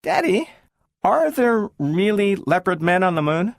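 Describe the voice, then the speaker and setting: A man reading aloud and voicing an eager little girl who turns tearful, in a shrill pleading voice. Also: very animated, measured pace, creaky, dry recording, clean studio sound, full character voice.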